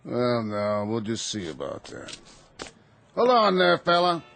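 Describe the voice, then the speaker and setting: A man's voice: mid-range voice, wildly swooping pitch, a little slow, vocal fry, a bit bright, slight background noise, exaggerated voice. An actor in a radio drama playing a patronizing but tolerant policeman who talks in a wry, firm way. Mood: stern. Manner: wry, condescending.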